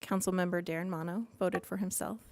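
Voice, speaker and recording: A soft-spoken woman reading out council vote results at a city meeting. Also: fairly low pitch, fairly even intonation, fairly quick. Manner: soft-spoken, reads out votes formally. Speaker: council staff reading votes. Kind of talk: formal meeting report.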